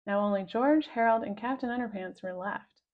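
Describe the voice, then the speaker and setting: A woman reading aloud a children's story with expressive scripted narration, on a slightly dull recording. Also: mid-range voice, normal speed. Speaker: storybook reader.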